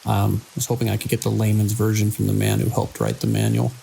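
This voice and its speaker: Actor as gravelly, weary night security chief. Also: male voice, on the low side, sing-song, fast, dark tone, exaggerated voice, poor audio. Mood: curious. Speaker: security lead. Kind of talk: audio drama, logs and radio dialogue. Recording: hiss and rain-like noise.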